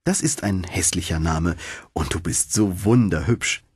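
A man narrating a fairy tale in an expressive storytelling manner, as for an audiobook. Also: low voice, unhurried, slightly rough, no room sound, clean studio sound.

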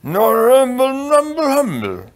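A man's voice: extremely high pitch, wildly swooping pitch, slow, voice pushed up, heavily acted. A man voicing a doddering old king in a storybook, mumbling and puffing. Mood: muddled.

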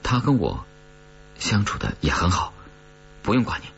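A man voicing an awkward, earnest, apologetic man in an audiobook, speaking haltingly and politely. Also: fairly low pitch, big pitch swings, gravelly, a bit bright, muffled recording, slight background noise, voice pushed up, heavily acted. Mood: reassuring, earnest. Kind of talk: audiobook character dialogue.

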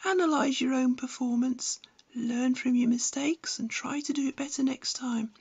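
A woman's voice: high voice, normal speed, gravelly, darkish tone, slightly rough, lo-fi sound. A woman reading scripted instructional narration in a blunt, didactic manner. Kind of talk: scripted narration, tutorial with opinionated advice.

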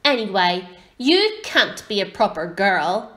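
A woman's voice: medium pitch, sing-song, slow, fairly bright tone. A woman voicing an eager, naive young male baby barn owl in a storybook, earnest, inquisitive and easily huffy. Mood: indignant.

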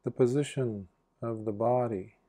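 A man leading a guided meditation as a Buddhist monk, speaking gently in short phrases with long pauses between them. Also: mid-range voice, fairly even intonation.

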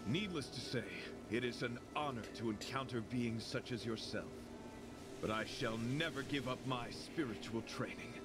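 An actor voicing a chivalrous young knight in a video game, courtly and theatrical, with flowery, earnest delivery. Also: male voice, mid-range voice, moderate pace, background noise, lightly acted. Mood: solemn, grand. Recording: game music under the voice.

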